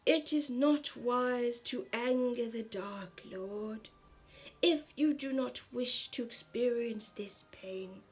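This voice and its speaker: Woman putting on a hissing, menacing dark lord. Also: fairly high pitch, slightly rough, heavily acted. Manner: slow, drawn-out threats.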